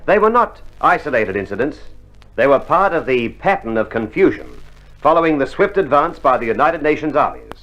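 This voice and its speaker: A man narrating a scripted 1960s radio war programme in a formal, measured manner. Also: fairly high pitch, very animated, fairly bright tone. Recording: muffled old vinyl. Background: faint music.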